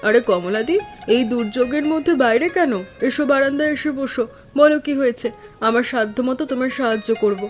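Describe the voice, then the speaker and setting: A woman voicing a supportive, generous village neighbour in an animated cartoon story, speaking reassuringly. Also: high voice, a little fast, faint music behind, lightly acted. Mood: concerned.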